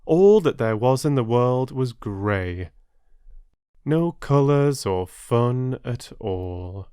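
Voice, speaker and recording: A male storyteller reads a children's tale at an unhurried pace. His pitch moves around a great deal, and he leaves dramatic pauses. The sound is dry and close. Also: animated, mellow, clean tone, no echo. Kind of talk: expressive children's story narration.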